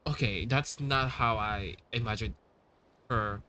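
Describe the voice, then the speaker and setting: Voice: medium pitch, takes it slowly, bright, forceful tone. A streamer giving casual, informal and gushing reactions while playing a video game. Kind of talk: casual gameplay reaction commentary. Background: game music.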